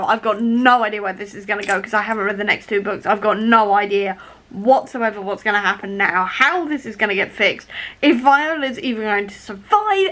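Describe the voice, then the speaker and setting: A woman talking casually as a book vlogger, in an animated, emphatic way, repeating key phrases for stress. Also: a little high. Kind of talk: casual reading vlog chat.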